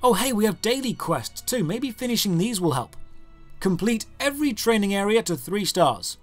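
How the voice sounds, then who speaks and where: A man's voice: high voice, sing-song, normal speed. A British man narrating a scripted video game review in a sarcastic, exasperated manner. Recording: music under the voice. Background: background music.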